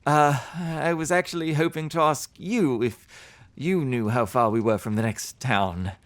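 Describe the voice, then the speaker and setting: A man's voice: mid-range voice, steady tone, lightly acted. Man acting hesitant, weary travelling merchant. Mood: puzzled, curious. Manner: hesitant, halting, muttering to himself. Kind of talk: fantasy audio drama acting.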